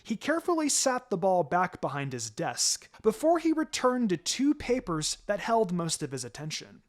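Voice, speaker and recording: A man's voice: sits high, huge pitch swings, normal speed. A man reading fan fiction aloud as a narrator, in a dramatic scripted storytelling style. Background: music.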